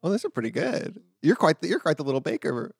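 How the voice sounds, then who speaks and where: A man co-hosting an improv comedy show, giggly and animated, often breaking into laughter. His voice sits a bit high and swings up and down a lot, recorded dry and close. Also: fast, gravelly, husky, dry recording. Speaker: comedy podcast co-host.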